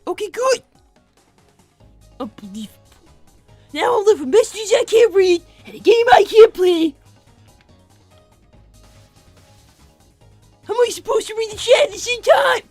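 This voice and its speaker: Woman voicing a very high, bratty little boy. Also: lively intonation, normal speed, long pauses, darkish tone, no echo, faint music behind. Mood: demanding. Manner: blunt, demanding, melodramatic. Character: bratty, dramatic five-year-old cartoon hedgehog boy Milo. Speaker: livestream co-host in character. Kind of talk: improvised character comedy livestream.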